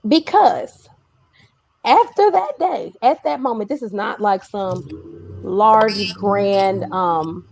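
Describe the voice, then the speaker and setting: A woman hosting a casual interview chat, telling things in an animated, emphatic way. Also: medium pitch, slow pace, vocal fry. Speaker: host.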